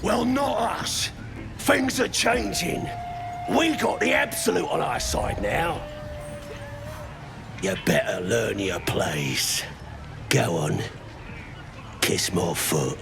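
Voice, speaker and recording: A man playing a boastful, belligerent goblin brute in a video game, with a gravelly voice and an English working-class accent. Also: mid-range voice, lively intonation, takes it slowly, pauses often, over music, heavily acted. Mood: belligerent, scornful. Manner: belligerent, taunting. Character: boastful, bullying goblin brute who loves drink. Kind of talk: video game character acting.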